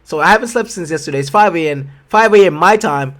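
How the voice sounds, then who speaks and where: A man's voice: on the high side, fast talker. Tired Jamaican man reacting casually. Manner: relaxed, chatty, trailing self-corrections. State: sleep-deprived, tired. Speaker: YouTube reactor.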